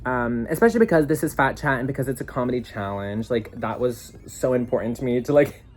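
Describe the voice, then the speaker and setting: A drag competitor speaking in a confessional interview, expressive and self-deprecating and swearing casually. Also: medium pitch, fast talker.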